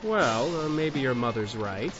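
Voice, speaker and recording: An actor playing a friendly, earnest neighbor with a husky voice in an audio drama. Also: male voice, medium pitch, sing-song, a little slow, over music. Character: friendly, earnest neighbor man who likes make-believe. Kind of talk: full-cast audio drama acting. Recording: dull, lo-fi sound.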